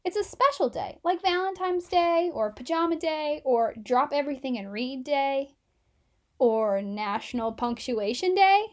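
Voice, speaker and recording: A woman plays a storybook schoolteacher, a man with a firm, instructive delivery and an exasperated edge. The pitch sits high, and key points are stressed for the class. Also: animated, unhurried. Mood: firm explaining. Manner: instructive, emphatic. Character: male schoolteacher, patient but exasperated, growly.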